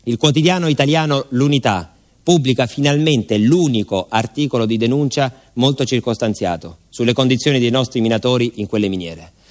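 A man giving solemn, measured, scripted documentary narration for radio theatre, with music behind him. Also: mid-range voice. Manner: solemn, measured, deliberate phrasing. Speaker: actor-narrator.

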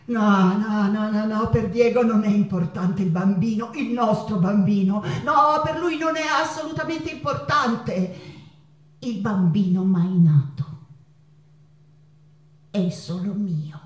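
An actress playing an anguished, passionate Mexican woman painter in a stage monologue, confiding haltingly and repeating words with dramatic emphasis. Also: medium pitch, natural voice. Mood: bitter, possessive. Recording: echoey stage, distant mic, guitar behind.

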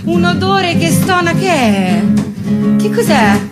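A woman's voice: extremely high pitch, big pitch swings, slow pace. A woman performing comic cabaret, satirical song and monologue in a theatrical, ironic, mock-solemn manner. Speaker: comic singer and stage performer. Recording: music behind the voice. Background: plucked guitar accompaniment.

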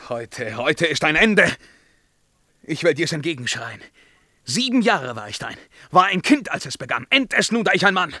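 An actor playing a tormented young emperor in a verse radio drama, declamatory, moving between hushed dread and outcry. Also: male voice, on the high side, big pitch swings, normal speed, no echo, clean studio sound, exaggerated voice. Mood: defiant. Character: tormented young emperor breaking free of his bewitching lover.

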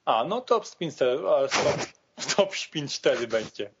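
A man's voice: medium pitch, sing-song, unhurried, fairly bright tone, slight background noise. A man chatting casually, contrarian and stumbling over repeated words. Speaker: podcast panelist. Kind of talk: casual chat. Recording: dull lo-fi voice recording.

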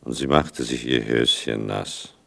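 Very deep, gravelly male narrator. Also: measured pace, fairly bright tone. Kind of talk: literary scripted narration.

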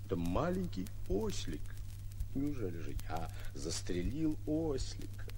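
An actor playing a pompous, excitable, boastful would-be lion hunter in a bombastic, theatrical 1940s radio comedy style, often muttering to himself. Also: male voice, lowish voice, unhurried, slight background noise. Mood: puzzled, self-important. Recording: old 1940s radio recording.

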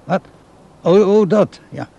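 A man's voice: sits high, animated, slow pace, creaky, mellow, husky, lo-fi sound, exaggerated voice. An actor playing a stubborn, easily offended old grandfather and war veteran in a radio play, muttering and reminiscing. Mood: embarrassed, evasive. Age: older.

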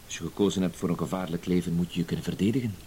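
An actor playing a cool, self-assured professional blackmailer in a radio drama, terse and matter-of-fact. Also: male voice, sits low, rapid-fire, mellow, natural voice. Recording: hissy old radio play recording.

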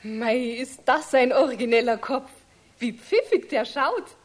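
A woman's voice: on the high side, unhurried. A woman playing a friendly, enthusiastic customer in a children's radio play, chatty and polite, with a Bavarian accent. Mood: friendly, delighted. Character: friendly, delighted adult female customer and neighbour.

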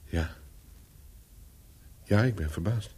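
A man acting a nervous, remorseful former lover in a radio play, speaking in a halting, earnest way. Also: low voice, warm tone, slightly rough, full character voice. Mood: subdued, bitter. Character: nervous, remorseful antique dealer seeking an old lover. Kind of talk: radio play acting, dialogue and inner monologue.

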